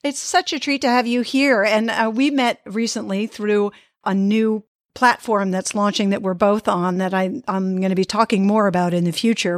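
A woman hosting a podcast, introducing her guest and asking questions in a measured, unhurried way. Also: mid-range voice, dry recording, clean studio sound. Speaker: podcast host.

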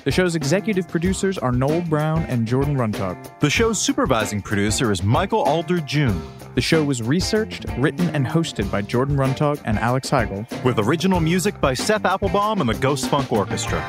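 A man's voice: mid-range voice, sing-song, brisk. A man announcing podcast credits in a scripted outro read. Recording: clear guitar music behind. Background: guitar music.

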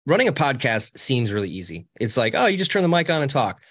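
A man with a high voice and a cackling laugh, a podcast guest talking casually and cheekily with many restarts. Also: fast talker, breathy edge. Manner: animated, cheeky, rambling with restarts. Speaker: podcast guest, social media co-founder. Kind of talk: casual interview talk.

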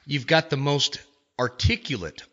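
A male podcast host talking in a friendly, halting way from a studio. His pitch sits in the middle, and the sound is a little dull and muffled. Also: animated, sharp tone. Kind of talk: casual podcast interview.

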